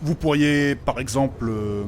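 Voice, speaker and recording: A man playing a formal, pedantic gentleman mage in a comic fantasy audio drama, speaking in precise, old-fashioned phrases. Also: mid-range voice, a little slow, slight background noise, close to an everyday voice. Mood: solemn, explanatory. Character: formal, learned, fussy gentleman mage companion.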